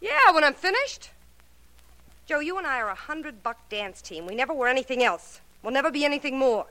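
An actress playing a tough, jealous, scheming dance partner, sarcastic and slangy, in a 1940s radio drama. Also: female voice, sits high, sing-song, brisk, bright, forceful tone, full character voice, low-quality recording. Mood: jealous, threatening.

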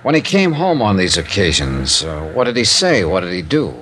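An American actor playing a blunt, wry, persistent investigator in a 1950s radio detective drama, with a clipped, dry way of questioning. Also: male voice, low-pitched, big pitch swings, moderate pace. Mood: dry, pressing. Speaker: lead actor and first-person narrator. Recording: noisy 1950s radio recording.

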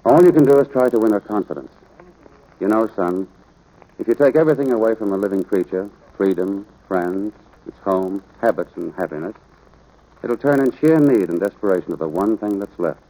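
Stern old-time radio ranch dad, american, deep-ish voice, firm n plain spoken, 1940s recording. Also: male voice, a little fast, warm tone, low-quality recording. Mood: earnest, instructive. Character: stern, gruff rancher father instructing his young son. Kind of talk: 1940s radio drama acting. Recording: vintage radio drama recording.

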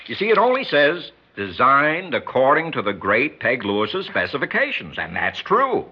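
Slick, lively sports promoter, vintage radio. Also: male voice, mid-range voice. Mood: smooth persuasion. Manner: brisk, persuasive, folksy salesman. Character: slick, pushy male sports manager and promoter. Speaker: radio drama actor. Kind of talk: 1960s radio acting. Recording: muffled, faintly noisy 1960s radio recording.

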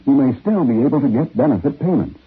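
A man's voice: medium pitch, lively intonation, fast, background noise. A man reading a scripted public service announcement on 1950s radio. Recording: tinny old radio recording.

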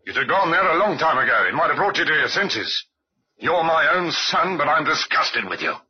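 A man's voice: fairly high pitch, big pitch swings, brisk, breathy edge, exaggerated voice. An actor playing an irate, scornful older father in an old-time radio drama, blustering with indignation. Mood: indignant. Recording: muffled old radio recording.

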